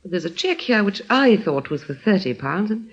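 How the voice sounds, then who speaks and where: Genteel wife, highly expressive pitch. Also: female voice, a little fast. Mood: cool, pointed. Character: genteel well-off wife and mistress, composed, firm. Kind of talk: old-time radio drama acting. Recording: muffled, narrow-band old radio recording.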